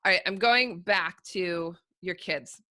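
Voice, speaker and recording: A woman's voice: on the low side, slow pace, sharp tone, no room sound. A woman hosting a podcast interview, asking long and searching questions in a casual way. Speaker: podcast host.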